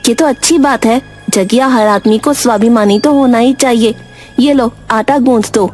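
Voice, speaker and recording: A woman's voice: on the high side, normal speed, a little mellow, soft background music, natural voice. A woman voicing a stubborn, principled young village son in a Hindi cartoon, earnest and polite. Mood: friendly. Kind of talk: Hindi moral-story cartoon acting.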